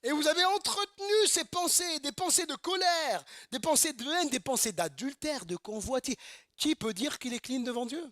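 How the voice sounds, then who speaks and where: High-pitched bright-voiced preaching man. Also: expressive, normal speed, dry recording, studio quality. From Normandy, France. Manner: emphatic, repeats phrases, asks rhetorical questions. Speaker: pastor. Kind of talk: sermon. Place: church service.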